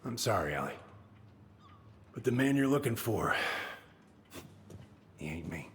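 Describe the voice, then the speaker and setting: An actor playing a gruff, weary middle-aged handyman in a video game, with a folksy, plain-spoken drawl. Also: fairly low pitch, sing-song, a little slow, in a large hall, a little hiss or hum. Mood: regretful. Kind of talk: naturalistic video game acting.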